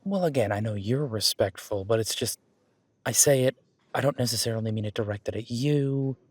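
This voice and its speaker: A man's voice: mid-range voice, normal speed, breathy edge, no room sound, close to an everyday voice. A man playing a friendly, easygoing werewolf boyfriend in a conversational audio roleplay, reassuring and rambling as he corrects himself. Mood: encouraging, casual. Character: friendly male werewolf gym-goer, easygoing supportive boyfriend. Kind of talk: conversational one-sided roleplay acting.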